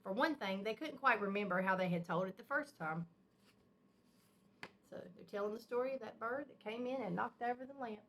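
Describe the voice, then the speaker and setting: A woman with a bright, fairly high voice reads a picture book aloud to children. She is expressive and emphatic, explaining things simply like a teacher. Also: a little fast, a little hiss or hum. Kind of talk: expressive storybook read-aloud with simple asides.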